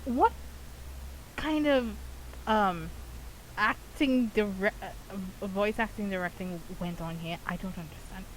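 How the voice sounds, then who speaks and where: A woman giving casual, excitable reaction commentary to a game, often laughing. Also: medium pitch, slow pace, slight background noise, low-quality recording. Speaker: game streamer reacting to a story quest.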